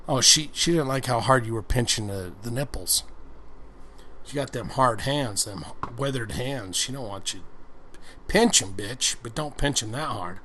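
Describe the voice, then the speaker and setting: A man's voice: medium pitch, measured pace, sharp tone, slight background noise. A man doing a casual ASMR-style book reading, breaking off into crude, slangy, folksy asides. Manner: crude, slangy, folksy commentary between passages. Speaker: host reading an erotic book aloud.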